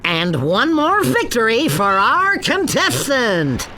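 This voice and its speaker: Wildly animated cartoon duck game show host. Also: male voice, extremely high pitch, slow, full character voice. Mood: smug, announcing. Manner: showy announcer delivery. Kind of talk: cartoon video game voice acting.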